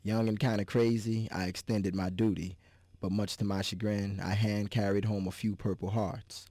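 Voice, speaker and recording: A man's voice: lowish voice, little pitch movement, moderate pace, warm tone, no room sound, close to an everyday voice. A man giving a dramatic reading as a wry, scarred army veteran. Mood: wry, reflective. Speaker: reader.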